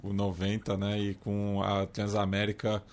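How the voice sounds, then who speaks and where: A man's voice: fairly low pitch, monotone, a little slow. Man, Brazilian accent, podcast co-host, short quips, faint background noise. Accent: Brazilian Portuguese. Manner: brief quips and interjections. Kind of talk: casual chat.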